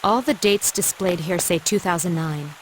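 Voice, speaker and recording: A composed, analytical older schoolgirl from an anime game dub, played by a woman. Her voice is fairly low, with clear hiss behind it. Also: normal speed, natural voice, low-quality recording. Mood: pensive.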